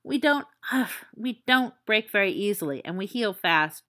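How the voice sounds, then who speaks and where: A woman reading the lines of an injured teenage werewolf boy who speaks softly and wryly, playing down his pain. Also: medium pitch, expressive, moderate pace. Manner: soft, wry, understated.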